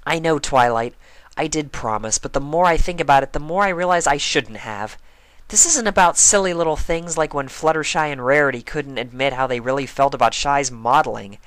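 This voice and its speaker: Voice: mid-range voice, brisk, a bit bright, dry recording, pitched above the performer's own voice, lightly acted. A storyteller voicing a bubbly but firm, caring young female pony, speaking in a direct, chirpy way. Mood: firm, serious.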